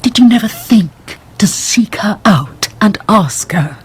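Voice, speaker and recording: An actress in a radio drama playing an anguished middle-aged noblewoman and mother, formal and careful, with a husky, breathy voice. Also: medium pitch, animated, slow pace, heavily acted. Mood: wounded, controlled.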